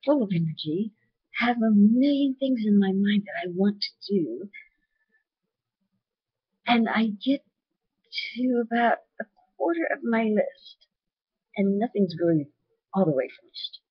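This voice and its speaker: A woman with a naturally loud voice talking candidly and earnestly to her webcam in a personal video. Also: medium pitch, animated, a little slow, pauses often, breathy edge, dry recording, dull audio. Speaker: vlogger.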